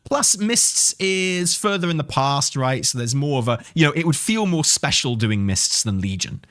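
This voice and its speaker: Male gaming streamer, slightly high voice, very lively intonation, rambling, sweary, enthusiastic casual delivery. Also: moderate pace, no echo, studio quality. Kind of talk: casual livestream chat and commentary on game news.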